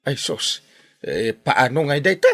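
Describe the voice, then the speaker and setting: A man's voice: medium pitch, sing-song, measured pace, a bit bright, no echo. A man playing a flustered, hapless and complaining village captain in an Ilocano comedy drama, exclaiming in exasperation with an Ilocano accent.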